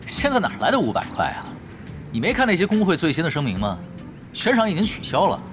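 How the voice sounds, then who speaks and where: An actor playing a laid-back, sly young veteran gamer in an audio drama, unruffled, wry and teasing. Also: male voice, on the high side, wildly swooping pitch, fast talker, over music.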